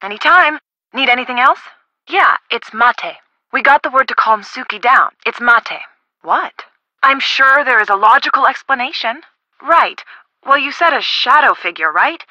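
Bright, chatty young game sidekick. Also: female voice, sits high, normal speed, no echo, dull audio, exaggerated voice. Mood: casual, concerned. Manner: casual, expressive, friendly. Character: upbeat, chatty, helpful young woman, the detective's friend. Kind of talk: video game voice acting.

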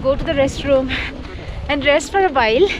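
A woman's voice: high voice, expressive, brisk, background noise. A young woman chatting to camera outdoors in the snow, easily mixing English and Hindi. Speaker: travel vlogger. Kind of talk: travel vlog talking to camera. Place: outdoors in snowy mountains. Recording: music playing underneath.

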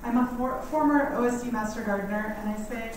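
A woman in her thirties with a quiet, slightly scratchy voice gives a friendly, chatty talk in a lecture hall, laughing often. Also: a little high, a little fast. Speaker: guest speaker on native bees. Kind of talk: community lecture. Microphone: standing mic heard from a distance. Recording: echoey hall, mic far off, faint noise.

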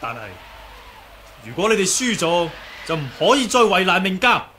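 A young swordsman hero, speaks earnest, courteous Hong Kong Cantonese. His voice is fairly high, in old radio drama style, over clear noise. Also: sing-song, normal speed, pushed and bright, heavily acted. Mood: calm resolve. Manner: polite, earnest. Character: courteous, confident young male martial arts hero. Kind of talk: 1980s radio drama acting.

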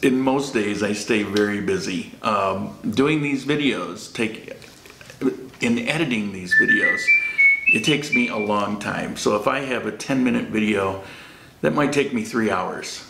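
A man's voice: lowish voice, unhurried, gravelly, background noise. A middle-aged man hosting a casual question-and-answer vlog, joking and laughing often. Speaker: vlog host. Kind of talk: casual Q&A chat. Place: small warm-lit room indoors. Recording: roomy echo.

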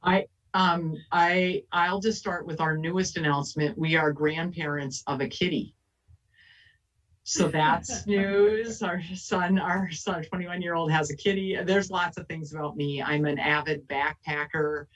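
A woman's voice: on the low side, steady tone, unhurried. Woman on a distant video call. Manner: earnest, many fillers and restarts. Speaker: panelist, elected official. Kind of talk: casual panel talk.

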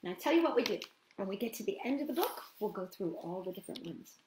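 A woman's voice: medium pitch, big pitch swings, quick pace, roomy sound, background noise. A middle-aged woman hosting a children's storytime, chatting to young listeners conversationally and putting questions to them. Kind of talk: storytime chat to young children, with read-aloud and singalong.

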